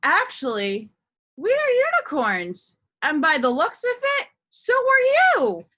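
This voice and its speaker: A woman puts on a friendly unicorn from a children's storybook, high and bright. It explains things matter-of-factly, with emphatic, swooping delivery. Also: huge pitch swings, takes it slowly, heavily acted. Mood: friendly, informative. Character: friendly, matter-of-fact unicorns welcoming a newcomer. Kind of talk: storybook character voice.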